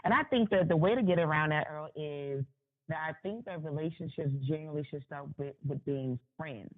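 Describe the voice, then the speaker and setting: A woman's voice: low voice, brisk. Woman on a thin phone line. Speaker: interview guest, author. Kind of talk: conversational opinion, casual interview.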